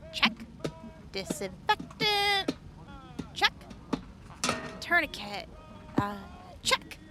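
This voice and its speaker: A woman's voice: very high-pitched, background noise, full character voice. A woman playing an upbeat young army medic in an audio drama, chatty and giggly, stammering when flustered. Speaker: field medic.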